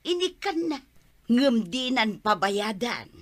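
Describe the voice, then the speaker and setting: A woman's voice: on the high side, very animated, slow pace, full character voice. A woman with an Ilocano accent acting a practical, scolding wife of a poor villager in a Filipino radio comedy drama. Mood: prodding.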